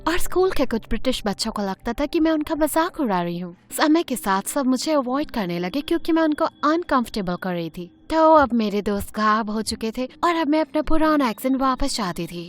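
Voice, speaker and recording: A woman narrating an animated story in first person in an expressive, chatty way. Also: high-pitched, fairly quick, hardly any pauses.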